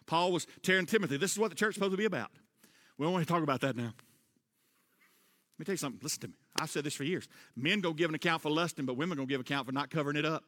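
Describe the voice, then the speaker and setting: High, bright-voiced pastor preaching. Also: male voice, very fast. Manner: preaching with emphatic rises, loud repeated refrains. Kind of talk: sermon. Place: church sanctuary. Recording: long church reverb.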